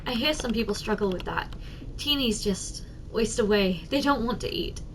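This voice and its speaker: Giant female secretary played by a woman, ASMR roleplay: higher-pitched, soft, tender and teasing toward her tiny boss, noisy ambient background. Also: expressive, normal speed. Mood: playful, curious. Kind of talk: ASMR roleplay, addressing the listener directly.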